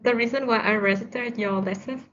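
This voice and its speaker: Woman, halting and hesitant, slightly high voice, muffled call with faint hiss. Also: steady tone, a bit bright. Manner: hesitant, halting with many fillers. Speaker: student, volunteer. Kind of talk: casual online chat.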